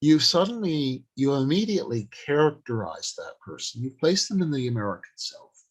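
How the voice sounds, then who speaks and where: A man giving an informal instructional talk on writing, speaking deliberately in short, halting phrases with pauses. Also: medium pitch, big pitch swings, husky. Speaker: writing workshop presenter.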